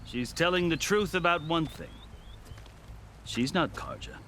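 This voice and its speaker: A man playing a proud, measured former army commander turned envoy in a video game, speaking in formal, deliberate phrases. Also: on the high side, sing-song, bright, forceful tone, a little hiss or hum, heavily acted. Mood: commanding. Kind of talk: video game dramatic acting. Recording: background music under the voice.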